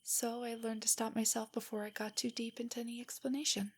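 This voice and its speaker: A woman playing a nervous, nerdy young girlfriend in an intimate roleplay audio drama, soft and whispery, with hesitant, stammering speech. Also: on the high side, little pitch movement, fairly quick. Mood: insecure, subdued. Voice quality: soft, breathy, often whispery. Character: shy, nervous, nerdy young girlfriend, self-doubting and affectionate.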